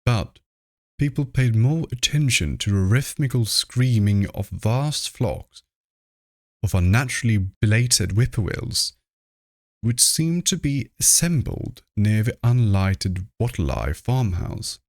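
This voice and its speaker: A man reading classic horror fiction aloud as scripted narration, in a measured, deliberate manner, close to the microphone. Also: fairly low pitch, expressive, a little mellow, dry recording. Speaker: audiobook reader.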